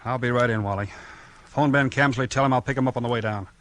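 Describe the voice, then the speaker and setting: An actor playing a gruff, tough and weary small-town police chief, terse and bossy, in 1940s radio crime drama style. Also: male voice, mid-range voice, fast, a bit bright, lightly acted. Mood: brisk, weary.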